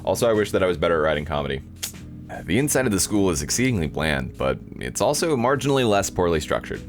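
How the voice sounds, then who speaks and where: A man's voice: sits low, very animated, fast talker, soft background music. A man doing casual, dry, self-deprecating commentary as he hosts a playthrough of his own game. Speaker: host playing own old visual novel.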